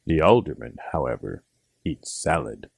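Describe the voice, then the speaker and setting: Storybook gentleman frog, performed by a man: fussy, genteel, extremely low and husky, formal in his phrasing. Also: sing-song, normal speed, dry recording, heavily acted. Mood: planning, composed. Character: small gentleman frog angler, fussy and proper.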